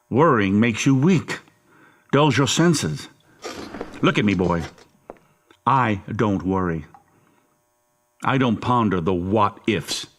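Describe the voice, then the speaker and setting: A man's voice: mid-range voice, a bit bright. An actor playing a cold, manipulative, murderous father in a horror audio drama, menacing and insistent in manner. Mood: scornful, domineering.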